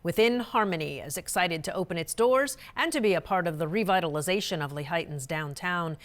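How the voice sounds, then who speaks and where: A woman's voice: lowish voice, brisk. A woman reporter reading scripted narration for local news stories.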